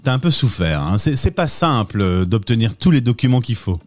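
A man's voice: mid-range voice, sing-song, fairly quick, a little mellow. A man hosts a radio interview in a conversational way. His voice sits in a mid range, and he leads with questions, easing in with fillers.

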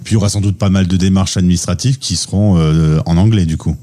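A man hosting a podcast, relaxed, asking casual questions by posing example situations in the first person. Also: low voice, fast talker. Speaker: podcast host.

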